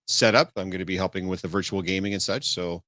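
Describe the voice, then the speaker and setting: A man with a bright, forceful voice on the low side chats casually as a podcast co-host, rambling and restarting his sentences. His voice is recorded close and dry, with no room sound behind it. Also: steady tone, fast talker, dry recording. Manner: rambling, with frequent restarts and laughs. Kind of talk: casual chat.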